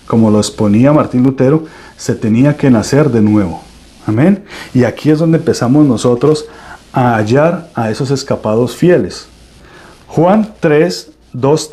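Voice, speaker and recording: A man with a Colombian accent delivers a sermon, his voice mellow and dark in tone. He preaches with emphatic swells and often restarts his phrases mid-sentence. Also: mid-range voice. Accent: Colombian Spanish.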